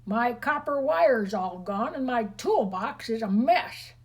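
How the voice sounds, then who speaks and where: A woman's voice: a little high, expressive, slow pace, slightly rough, exaggerated voice. A woman voicing a grumbling, scolding father in a children's storybook.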